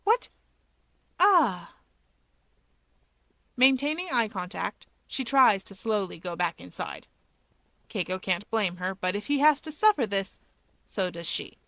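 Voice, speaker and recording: A woman narrates fiction in an expressive read-aloud style. Her pitch sits in an average range for a woman. The recording is very dry, with no room sound. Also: normal speed, no echo. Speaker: story narrator.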